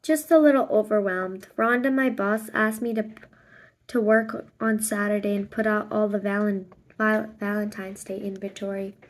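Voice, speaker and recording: A woman's voice: medium pitch, fairly even intonation, normal speed, lightly acted. A woman voicing a busy, overwhelmed working mother in a storybook, weary and apologetic as she explains.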